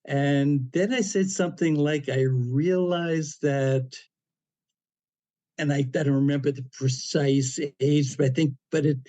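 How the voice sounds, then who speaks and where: A man around seventy, a podcast guest, telling a personal story in a relaxed, anecdotal way with frequent "you know" fillers and dry humor. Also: fairly high pitch, measured pace. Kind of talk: casual storytelling interview.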